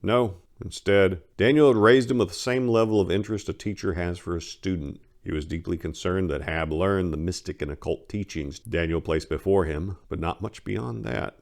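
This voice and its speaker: Low-voiced man narrating a dark tale. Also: normal speed, creaky, few pauses. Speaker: author-narrator. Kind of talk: dramatic scripted story narration.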